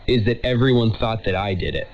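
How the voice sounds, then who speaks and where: A man's voice: lowish voice, fairly quick, slight background noise. An American man in his thirties telling a personal story in a reflective, wry way. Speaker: storyteller and host. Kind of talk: personal documentary narration and family chat. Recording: dull lo-fi radio sound, music behind.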